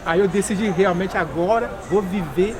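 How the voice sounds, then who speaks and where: A man with a high-pitched voice makes his point earnestly and with emphasis. He is interviewed outdoors, with a crowd and street sounds clearly audible behind him. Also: moderate pace. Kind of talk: documentary interview.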